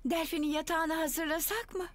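A woman voicing a kindly, organised mother pig in a children's cartoon, with a gentle motherly manner. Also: extremely high pitch, steady tone, normal speed. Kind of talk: Turkish children's cartoon dub.

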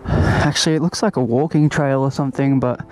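A man's voice: medium pitch, steady tone, brisk. A young man with an Australian accent chatting casually to camera as a motorcycle vlogger, laid-back and slangy. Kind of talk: casual running commentary to camera. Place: outdoors, riding a motorbike and on stops. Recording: loud wind, traffic and engine noise.

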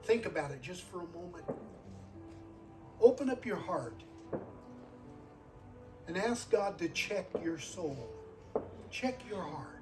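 A man in his sixties preaches at a live service in a high voice. His delivery is earnest, circling back over phrases to drive them home. He sounds somewhat far from the microphone, with music clearly behind him. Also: animated, takes it slowly, pauses often. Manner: earnest, repeats phrases for emphasis, calls for amens. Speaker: guest preacher. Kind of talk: sermon with altar call.

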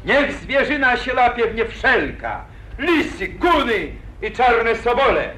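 An actor playing a boastful young hero of an old epic, declaiming verse with pathos in 1940s radio acting. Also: male voice, extremely high pitch, big pitch swings, measured pace, pushed and bright, heavily acted. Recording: echoey old radio recording.

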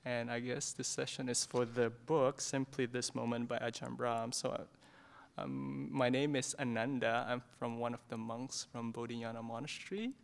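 Middle-aged monk from indonesia, gravelly voice, soft and hesitant, lots of ums, stand mic in a meditation hall. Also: male voice, mid-range voice, little pitch movement, normal speed. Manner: hesitant, many fillers and restarts, gentle and soft-spoken. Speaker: Buddhist monk leading a book club talk. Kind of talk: informal book discussion talk. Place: meditation hall in a Buddhist centre. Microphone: stand microphone in front of his chair.

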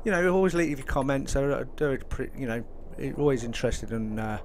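A man's voice: mid-range voice, expressive, a little fast, slight background noise. A man hosting a gaming video, chatting casually in a polite, rambling, hesitant way and often repeating himself. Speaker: gaming YouTuber, host. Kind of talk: casual video intro commentary.

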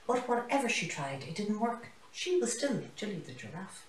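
A woman's voice: mid-range voice, very animated, moderate pace, a little hiss or hum. A woman, reading a children's story expressively in a living room. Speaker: storybook reader. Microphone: camera across the room. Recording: roomy, mic some distance away.

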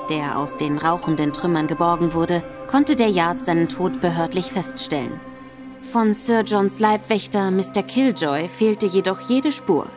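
A woman giving sober, measured scripted narration for a radio drama. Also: on the low side.

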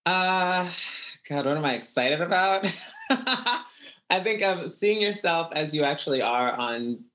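A woman, a reality show contestant, giving reflective interview answers over a video call, with soft laughs between thoughts. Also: on the low side, expressive, slow pace. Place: home room.

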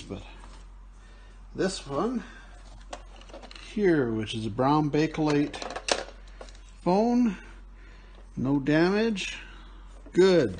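Man, slow rambling unboxing talk, casual picker vlog, noisy phone recording. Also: a little high. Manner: relaxed, rambling, thinking aloud with fillers. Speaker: picker and vlogger. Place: indoors at a table, off camera.

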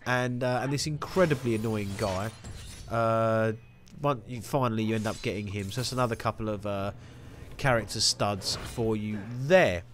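A man's voice: on the low side, moderate pace, slight background noise. A young man giving a rambling, jokey walkthrough of a video game with self-mocking asides. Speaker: gaming video host. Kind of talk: casual gameplay walkthrough commentary.

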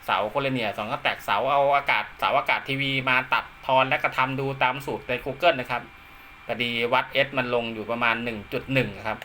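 A man's voice: mid-range voice, fairly even intonation, fairly quick, pushed and bright, slight background noise. A man giving a casual hands-on demonstration as a radio hobbyist, recorded indoors at home. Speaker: amateur radio hobbyist presenting his own video.

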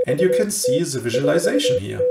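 Guy doing a screencast tutorial, bit of gravel in the voice, medium pitch, echoey room, kinda halting. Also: sing-song, heavy background noise. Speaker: host of a cryptography tutorial channel. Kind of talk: casual software screencast tutorial. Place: small room indoors at a computer. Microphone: headset. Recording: roomy home recording.

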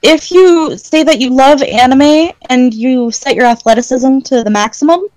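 A woman's voice: high-pitched, normal speed, darkish tone, a little hiss or hum, poor audio. A woman talking casually about games as a guest in a group voice chat.

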